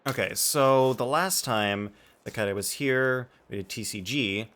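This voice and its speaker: A man's voice: medium pitch, unhurried, fairly bright tone. A man hosting a casual gaming livestream, thinking aloud as he sets things up. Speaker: livestreamer, host. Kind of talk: casual gaming stream chat. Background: background music.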